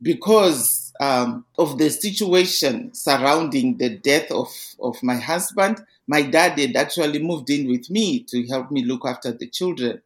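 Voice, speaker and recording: A woman's voice: extremely low pitch, a little slow, few pauses. A middle-aged woman from Zimbabwe with a Zimbabwean accent, earnestly recounting her life story as a podcast guest over a remote call. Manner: earnest, steady storytelling with small restarts. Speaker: interview guest.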